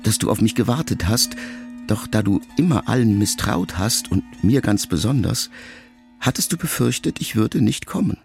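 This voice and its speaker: An actor playing a melancholy, reflective writer son in a radio drama, speaking in measured, intimate, halting phrases as if to his mother. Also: on the low side, expressive, creaky, darkish tone, husky, faint music behind, heavily acted. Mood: quietly bitter.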